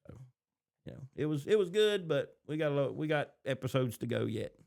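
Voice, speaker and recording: American guy podcast host, higher pitched with a lot of creak, casual tv chat. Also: sing-song, fairly quick, darkish tone.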